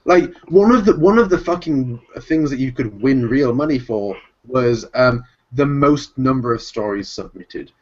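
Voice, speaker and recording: A man's voice: medium pitch, lively intonation, a little slow, hardly any pauses, a little mellow. A man hosting a casual group voice chat, swearing freely, laughing often and working himself into rants. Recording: dull lo-fi voice chat.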